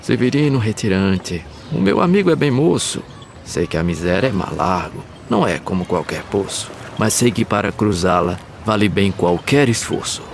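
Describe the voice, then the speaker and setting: A man playing a seasoned, steadfast carpenter in an animated verse drama, with a measured, reflective delivery. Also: on the low side, gravelly, a little hiss or hum. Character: seasoned male carpenter of the river slums, patient, steadfast.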